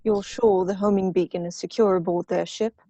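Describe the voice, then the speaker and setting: Brief: stern imperial villain played by a woman, dark mellow tone, dry muffled recording, wary and terse. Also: mid-range voice, steady tone, moderate pace, no echo, close to an everyday voice. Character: cold, wary imperial military governor, villain. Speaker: actor in a table read. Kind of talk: virtual script table read.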